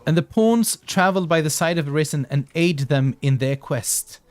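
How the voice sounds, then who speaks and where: A man's voice: fairly high pitch, animated, normal speed. A man from southern Sweden, streaming a game and chatting casually with viewers. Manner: chatty, restarts and fillers, reads chat aloud.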